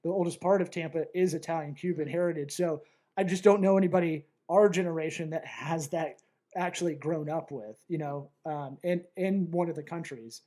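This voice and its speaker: A man's voice: fairly high pitch, a little fast, dark tone. A man, hosting a casual podcast, rambling, interrupting himself and joking. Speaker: podcast host.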